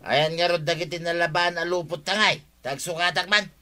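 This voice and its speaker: Sharp, high-voiced whiny lazy husband. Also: male voice, steady tone, moderate pace. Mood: grumbling, indignant. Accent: Ilocano. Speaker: actor in radio comedy drama. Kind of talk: Ilocano radio comedy drama acting.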